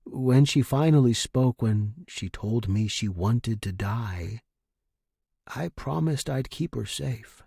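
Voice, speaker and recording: A man reading first-person fiction as a narrator, in a grave, measured, dramatic manner. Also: medium pitch, lively intonation, gravelly, dark tone, slightly rough, dry recording, clean studio sound. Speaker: story narrator.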